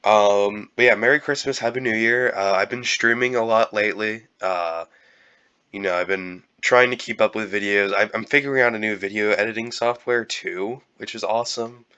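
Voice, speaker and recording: A young man with a cold, talking in a halting, casual way with many fillers and restarts. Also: on the low side, fairly even intonation, bright, forceful tone, clean tone, highs cut off. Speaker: YouTuber. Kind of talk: casual year-end vlog.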